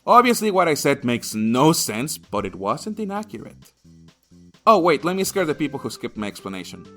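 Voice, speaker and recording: A man's voice: fairly high pitch, sing-song, moderate pace. Guy doing a funny game review, sarcastic and crude, voice jumps around a lot, music underneath. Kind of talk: comedic scripted review narration.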